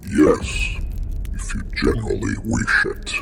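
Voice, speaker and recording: A man playing a solemn ancient necromancer gatekeeper in an audio drama, gravelly, speaking in portentous, archaic formal phrases. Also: slow pace, music in the background, close to an everyday voice. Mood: mystical guidance.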